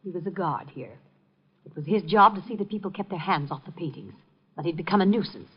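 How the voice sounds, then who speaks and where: A woman's voice: on the low side, brisk, breathy edge. An actress playing an icy, imperious, mocking woman in a 1950 radio drama, with a cold, clipped, commanding delivery. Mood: scornful. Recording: dull old radio recording, faint music.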